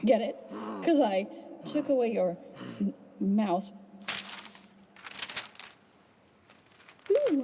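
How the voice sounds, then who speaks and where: A woman playing a gloating, theatrical rabbit trickster villainess in an audio drama, mocking and hammy like a stage host. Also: high voice. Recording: music under the voice.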